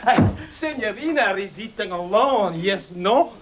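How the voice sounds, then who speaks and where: A man playing a flustered, excitable restaurateur in a children's slapstick comedy, exasperated and repeating himself. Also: extremely high pitch, normal speed, heavily acted. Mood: fawning waiter act. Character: flustered, excitable male restaurateur posing as Italian waiter.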